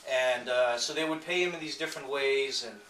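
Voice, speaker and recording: A man telling an unscripted anecdote to a gathering in a halting, hesitant way. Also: mid-range voice, pushed and bright. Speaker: speaker at a memorial gathering. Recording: echoey room, distant mic, some noise.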